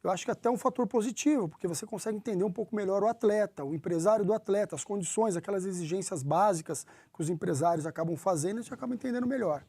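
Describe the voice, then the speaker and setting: A man from São Paulo, a football club executive answering interview questions in a measured way, often restarting his sentences. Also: sits high, mellow, husky, no echo. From São Paulo, Brazil.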